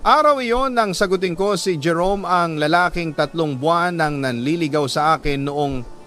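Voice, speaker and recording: A man with a Filipino accent reading a scripted dramatic story in an earnest, sentimental, measured way. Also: a little high, a bit bright. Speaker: radio drama narrator reading a listener's letter. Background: background music.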